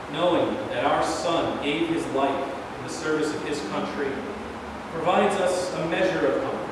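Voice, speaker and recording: A man's voice: on the high side, close to an everyday voice. A man reading the part of a resolute, plain-spoken president in a staged play, recorded from afar in an echoey room. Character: resolute, plain-spoken male American president defending his decision. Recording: very echoey hall, far mic, noisy.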